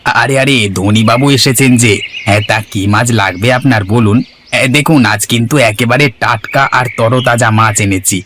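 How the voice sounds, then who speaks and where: A man's voice: mid-range voice, moderate pace, a bit bright, a little hiss or hum, natural voice. A man voicing an eager, ingratiating village fish seller in a cartoon. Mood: eager, fawning. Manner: ingratiating sales patter. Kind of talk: cartoon village drama acting.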